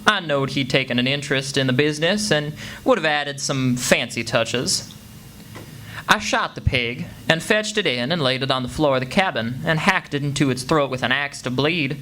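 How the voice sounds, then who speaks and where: Man playing a bright-voiced, folksy backwoods boy. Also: fairly high pitch, normal speed. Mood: proud, matter-of-fact. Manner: folksy, plain-spoken. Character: plucky, crafty backwoods boy telling his own adventures. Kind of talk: first-person storytelling in a radio play. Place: live performance before an audience. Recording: faintly noisy live stage recording.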